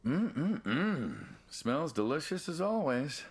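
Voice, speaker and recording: Husky, gravelly aging American mobster. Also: male voice, fairly high pitch, very animated, full character voice. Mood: friendly, nostalgic. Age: older. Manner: casual, drawn-out, probing. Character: folksy, quietly menacing aging mob man, old friend. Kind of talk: naturalistic video game dialogue.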